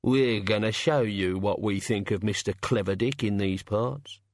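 A man's voice: fairly low pitch, a little slow, no echo, clean studio sound. A man voicing a blunt, threatening male god. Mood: menacing.

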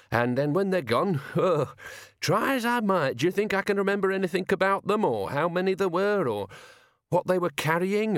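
A man voicing a nervous, shifty male cart driver who babbles in a high-pitched wheeze. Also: dry recording, clean studio sound, close to an everyday voice.

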